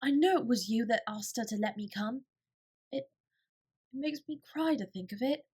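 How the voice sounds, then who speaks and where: A woman's voice: on the high side, animated, moderate pace, a little mellow, husky, voice pushed up. A woman voicing a timid, grateful young servant girl in an audiobook, gasping and deferential. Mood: tearful, grateful.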